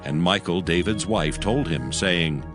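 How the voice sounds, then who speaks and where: A man's voice: low voice, unhurried. A man narrating scripture as a solemn storyteller, reading from a script. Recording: studio narration over music bed. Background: background music.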